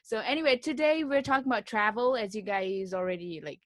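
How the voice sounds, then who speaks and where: A woman's voice: mid-range voice, a little fast, dry recording. A young woman hosts a laid-back podcast over a video call, giggling and padding her lines with "like" and "you know". Her voice sits in an ordinary middle range. It is recorded close and dry, with no room sound. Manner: giggly, filler-heavy, loose and chatty. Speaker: podcast host. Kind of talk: casual chat.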